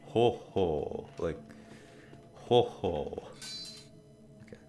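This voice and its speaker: A man's voice: low-pitched, animated, very slow, mellow, a little hiss or hum. A man streaming a video game, chatting casually and jokily with lots of trailing fillers. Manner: rambling, jokey, trailing filler words. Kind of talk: casual chat while gaming.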